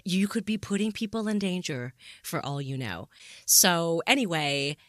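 A woman's voice: lowish voice, animated, measured pace, dry recording, studio quality. A woman co-hosting a podcast discussion, speaking in an emphatic, blunt, casually sweary and opinionated way. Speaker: podcast co-host.

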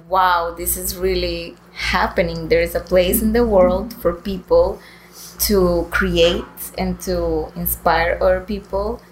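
A woman's voice: mid-range voice, slight background noise, poor audio. A woman artist answering interview questions in an earnest, reflective, conversational way. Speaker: interview guest, painter.

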